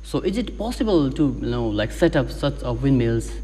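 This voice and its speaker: A man with a Nepali accent hosting a TV chat show, asking quick questions full of "you know" and "like". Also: mid-range voice, big pitch swings, mellow, husky, long reverb tail. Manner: fast, filler-heavy questions with "you know" and "like". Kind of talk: TV interview, switching between English and Nepali.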